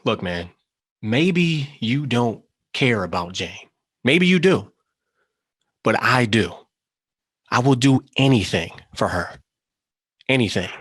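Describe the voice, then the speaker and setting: An actor playing an earnest, brainy hacker, nervous but determined, speaking haltingly in a screenplay table read. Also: male voice, mid-range voice, sing-song, fairly bright tone, breathy edge, heavily acted. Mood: earnest, defiant.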